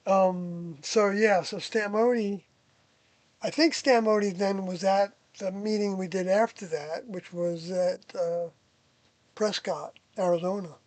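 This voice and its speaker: An older person reminiscing casually about past experiences, hesitant and pausing often while searching their memory. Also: medium pitch, fairly even intonation, darkish tone, no echo. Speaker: interviewee, former member of a group recalling past experiences.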